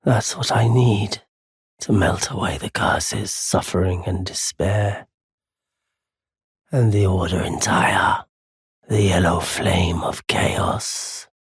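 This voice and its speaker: An actor voicing a solitary, world-weary wandering merchant in a fantasy video game, with a husky, raspy voice and measured, formal phrasing. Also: male voice, low voice, steady tone, no room sound, clean studio sound, full character voice. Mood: awed, fervent. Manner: slow, measured, formal old-fashioned phrasing. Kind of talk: dramatic fantasy game voice acting.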